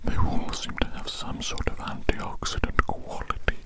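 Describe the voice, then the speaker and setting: An ASMR host rambling in a breathy, unvoiced whisper very close to the microphone, musing in an unhurried way and repeating phrases.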